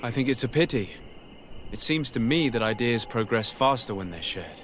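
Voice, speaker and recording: A man plays a male adventurer in a fantasy video game, courteous and inquisitive. His voice is mid-pitched with a very varied melody, and his phrasing stays polite, calm and diplomatic. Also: slight background noise, close to an everyday voice. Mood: gently questioning. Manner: polite, measured. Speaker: player protagonist. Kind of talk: fantasy game dialogue acting.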